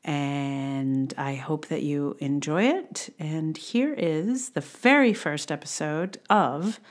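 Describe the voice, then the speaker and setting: A woman podcast host giving a casual, halting, informal introduction. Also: low voice.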